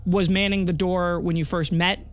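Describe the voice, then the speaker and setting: Game master for a fantasy audio tabletop game, mid pitch, narrating scenes over a music bed. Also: level tone, moderate pace. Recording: music under the voice. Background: background music.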